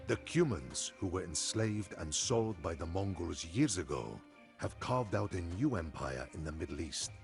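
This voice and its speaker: Deep-voiced steppe khan, grave and vengeful; gravelly game cutscene narration over music. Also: male voice, exaggerated voice. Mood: reflective. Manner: solemn, ominous, deliberate. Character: grave, vengeful Cuman khan recounting his people's war. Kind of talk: dramatic scripted storytelling narration. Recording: music behind.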